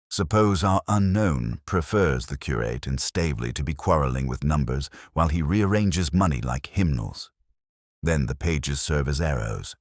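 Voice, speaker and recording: A shrewd inspector in an audio whodunit, voiced by a male reader. He is measured and dryly ironic, with a very mobile pitch over a deep base and a dry, close recording. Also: no echo, exaggerated voice. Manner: deliberate, dry, aphoristic.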